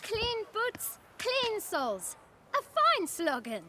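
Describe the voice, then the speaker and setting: A cheeky street urchin boy played by a woman with a very high, swooping voice. He is a chatty little bootblack in a fantasy game, all folksy patter, with faint ambience around him. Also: huge pitch swings, slow, background noise, full character voice. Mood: cheeky, eager. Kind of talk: video game voice acting.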